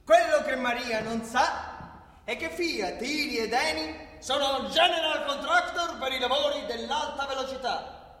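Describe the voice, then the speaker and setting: An actor playing a sardonic narrator in a political radio play, explaining in an emphatic, didactic way with ironic rhetorical questions. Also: male voice, very high voice, measured pace, bright, forceful tone, heavily acted. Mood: explanatory, ironic. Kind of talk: theatrical scripted narration, civic storytelling. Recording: echoey long-reverb hall, distant from microphone.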